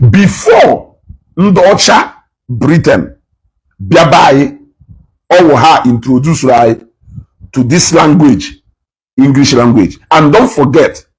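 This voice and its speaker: A man's voice: fairly high pitch, husky, rough recording. A man giving passionate reaction commentary, preachy and repeating words for emphasis. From Nigeria, Igbo.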